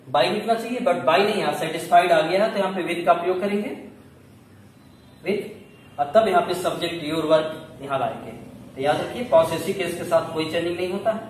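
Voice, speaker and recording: High-pitched Indian man teaching, very echoey room. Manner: didactic, asks questions and answers them himself. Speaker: English grammar teacher. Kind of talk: classroom lesson explaining grammar step by step. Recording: very echoey room, distant mic, faint noise.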